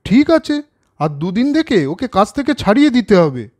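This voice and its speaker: A man's voice: sits high, big pitch swings, normal speed, a little mellow. A man voicing a kind, earnest young coaching teacher in a storybook cartoon.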